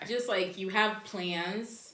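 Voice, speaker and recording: Woman, 20s, podcast co-host; hesitant and bright, echoey room. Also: mid-range voice, takes it slowly, slight background noise. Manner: soft-spoken, hesitant, many fillers. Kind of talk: casual chat.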